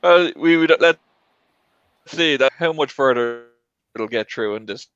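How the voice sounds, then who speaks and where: A man's voice: mid-range voice, a little slow, a bit bright, smooth, no room sound, lo-fi sound. A man hosting a casual panel chat over an online call. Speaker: host of a film tournament show. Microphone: online group call.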